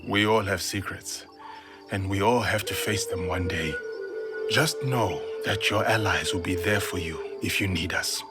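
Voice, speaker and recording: Low, steady warrior companion. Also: male voice, unhurried. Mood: reassuring. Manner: calm, reassuring. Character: steady, loyal male warrior ally, gently encouraging. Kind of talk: dramatic video game cutscene acting. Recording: prominent music behind dialogue.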